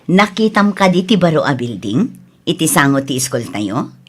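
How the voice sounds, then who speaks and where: A woman plays a motherly schoolteacher in a radio drama, her voice sitting a little low. She has a Filipino accent and talks in a kindly, encouraging, coaxing way. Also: big pitch swings, moderate pace. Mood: kindly, persuasive. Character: kindly, encouraging female schoolteacher, older than her student. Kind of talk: Ilocano radio drama acting.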